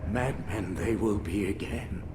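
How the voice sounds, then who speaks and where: An actor playing a dying, self-righteous zealot doctor in a video game, talking in a theatrical, measured, rhetorical way. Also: male voice, on the low side, noisy background, natural voice. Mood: earnest, self-justifying. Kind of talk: video game cutscene acting.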